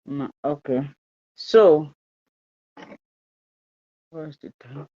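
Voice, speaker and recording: A woman's voice: sits low, very slow, mellow. Chatty Nigerian woman hosting a live stream. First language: Igbo. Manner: chatty, repeats herself, mixes in pidgin, laughs often. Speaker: fertility channel host. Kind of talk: live-stream advice talk, reading viewer comments. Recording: dull lo-fi live-stream audio.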